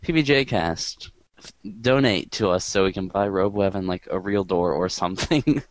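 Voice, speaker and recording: A man hosting a podcast in casual chat, with a quiet, monotone voice and a rambling, dry way of talking. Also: sits low, moderate pace, no echo. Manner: rambling and dry, filler-heavy. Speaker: podcast host.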